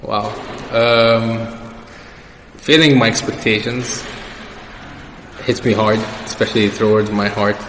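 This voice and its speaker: A man's voice: on the low side, steady tone, slow pace, pauses often, a bit bright. A young man answering questions as the guest in a casual personal interview. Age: young adult. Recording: echoey reverberant room with background noise.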